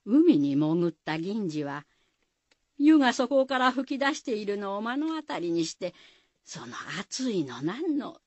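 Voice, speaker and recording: A woman's voice: medium pitch, big pitch swings, unhurried, darkish tone, dry recording. A woman narrating a folk tale for radio in a folksy manner, with rustic dialect. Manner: folksy storytelling. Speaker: storyteller narrator.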